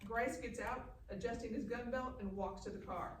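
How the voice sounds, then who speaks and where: A woman's voice: fairly high pitch, steady tone, normal speed. A woman reading screenplay action lines as narrator at a live table read. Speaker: stage-direction narrator. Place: live reading in a festival room. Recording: echoey room, distant mic, faint noise.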